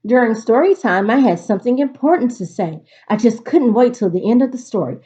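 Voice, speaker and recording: A woman teacher reading aloud to students in an expressive, instructive storytelling delivery. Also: fairly high pitch, fairly quick, slightly rough. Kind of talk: picture-book read-aloud for a virtual class. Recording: dull, lo-fi recording.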